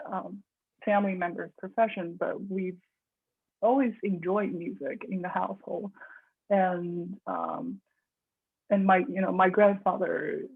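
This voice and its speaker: A young woman from China, a composer, chatting in an interview with many restarts and soft laughs. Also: medium pitch. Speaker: composer guest. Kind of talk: casual interview conversation. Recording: husky voice over tinny phone-like line.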